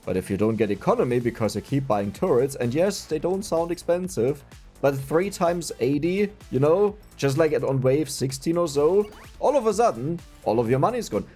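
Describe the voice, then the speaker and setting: Chatty German man with lively pitch. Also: normal speed, slightly rough, faint music behind. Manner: chatty, self-mocking, laughs often. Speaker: gaming YouTuber. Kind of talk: casual gameplay commentary.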